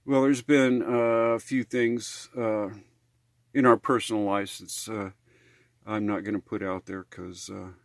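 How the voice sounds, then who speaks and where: A man's voice: fairly low pitch, little pitch movement, measured pace, creaky, no echo, clean studio sound. An American man talking casually in a how-to vlog, in a rambling, hesitant, folksy way. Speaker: RV living vlogger.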